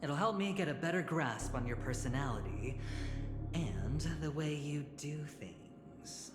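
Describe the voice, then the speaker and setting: A man's voice: on the high side, animated. A man voicing a sly, playful and menacing gambler in a video game, teasing and drawing out his words theatrically. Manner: teasing, drawn-out, theatrical delivery. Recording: loud music, echoey reverb.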